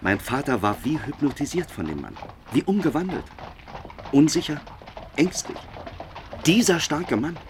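An actor playing a distraught, bitter young gentleman in a radio drama, speaking urgently and with grievance. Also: mid-range voice. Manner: urgent, aggrieved. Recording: clear background ambience noise.

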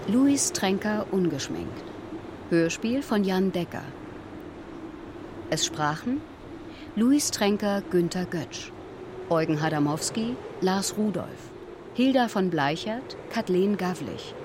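A woman's voice: mid-range voice, noisy background. A woman giving scripted narration for a radio play.

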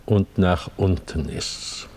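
An actor playing a grave, foreboding chorus elder with a husky voice in a tragic verse radio drama. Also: male voice, low voice, slow pace, darkish tone. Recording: long hall reverb.

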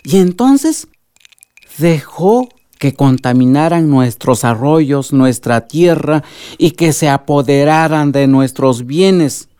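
An actor playing a wise, loving elderly village grandfather in a radio drama, with a Mexican accent and a measured, reflective way of advising. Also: on the high side, expressive, dark tone, smooth, dry recording, studio quality. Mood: grave, advising. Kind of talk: Spanish-language radio drama acting.

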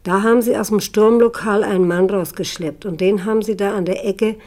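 Eine Schauspielerin in einem deutschen Hörspiel spielt eine liebevolle, beschämte Tante, die stockend und vertraulich von dunklen Zeiten erzählt. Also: female voice, medium pitch, fairly even intonation, a little fast, a little mellow, close to an everyday voice. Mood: troubled remembering. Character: ashamed, affectionate aunt recalling dark times. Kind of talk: German radio play dialogue.

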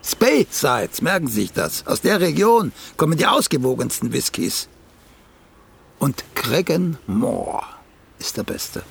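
An actor in a German radio play voicing a vain, needling elderly playwright who speaks in a pompous, deliberate way. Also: male voice, a little high, very animated, creaky, husky, heavily acted. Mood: lecturing. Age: older. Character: vain, cantankerous elderly once-celebrated playwright.

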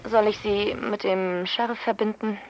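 Telephone clerk played by a woman, radio drama: polite, businesslike, mid-pitched voice. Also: fairly even intonation, moderate pace, heavy background noise, natural voice. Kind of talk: German radio drama acting.

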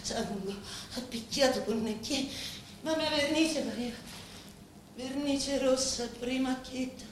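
A woman acting a grieving, vengeful daughter in a theatrical monologue, with a Sicilian accent and halting, incantatory delivery full of repeated words. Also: sits high. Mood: anguished, grieving. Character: grieving, vengeful daughter of murdered parents, confiding in a boss. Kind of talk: theatrical dramatic monologue in dialect-laced Italian. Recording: echoey, distant, faintly noisy stage recording.

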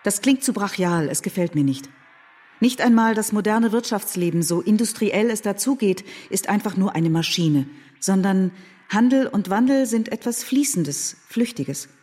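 An actress playing a cautious, genteel moderate woman in a radio drama, gently admonishing and earnest. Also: mid-range voice, lively intonation, moderate pace, husky. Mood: uneasy, earnest. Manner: careful, admonishing. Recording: studio radio play with loud music.

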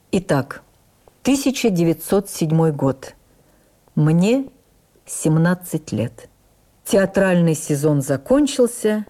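A woman playing a stage actress recalling her youth in a measured, expressive radio memoir reading. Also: on the low side. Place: radio studio.

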